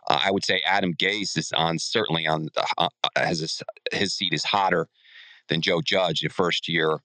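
Bright, clear, low-pitched man hosting. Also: steady tone, moderate pace, no echo. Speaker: sports podcast host. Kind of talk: casual sports talk, posing questions.

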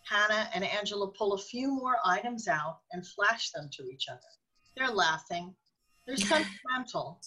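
A woman's voice: mid-range voice, expressive, normal speed, pushed and bright, slightly rough, rough recording. A woman reading scripted narration for a radio drama, describing scenes as the narrator.

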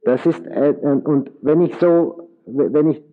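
An older man from Austria with a Viennese accent worn down by decades abroad, slowly and thoughtfully teaching a workshop audience. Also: on the high side, phone-quality sound. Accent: Viennese German, worn by years in the US. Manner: slow, thoughtful, searching for words. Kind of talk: lecture with audience questions. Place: lecture hall with live audience.

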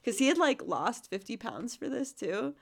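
A woman co-hosting a casual podcast chat, sick with a runny nose and sniffling, chatty and quick to laugh. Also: a little high, big pitch swings, vocal fry. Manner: chatty, laughs often, trails off. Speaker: podcast co-host.

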